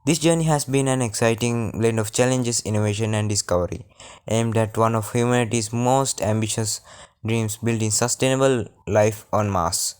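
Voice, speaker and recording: A young man from Sri Lanka reading a prepared science project presentation in a formal, measured way. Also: fairly low pitch, a little mellow, smooth. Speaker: student presenter.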